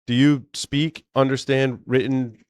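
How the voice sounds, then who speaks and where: A man hosting a casual tech podcast, talking in an animated way with many restarts and asides. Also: mid-range voice, unhurried, no echo, studio quality. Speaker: podcast host.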